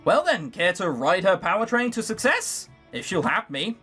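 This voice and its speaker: A man voicing a calm, smirking guitarist, an old bandmate, in a lowered, easy-going voice. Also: normal speed, breathy edge, soft background music, full character voice. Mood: wry. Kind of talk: visual novel voice acting.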